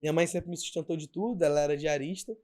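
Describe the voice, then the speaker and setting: Young carioca man, guest role, easygoing slang, somewhat high voice. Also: fast talker. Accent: Carioca Portuguese. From Rio de Janeiro, Brazil. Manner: relaxed, slangy, candid. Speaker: podcast guest, bakery owner. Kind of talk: casual interview storytelling.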